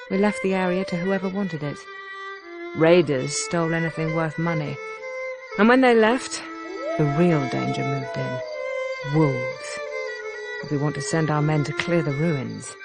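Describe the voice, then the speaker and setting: An actress playing a grave, hopeful noblewoman ruler, narrating game cutscenes in a solemn, measured, earnest way. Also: deep voice. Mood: somber, grieving. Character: grave, hopeful noblewoman ruler rebuilding her war-torn land. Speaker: campaign narrator. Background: background music.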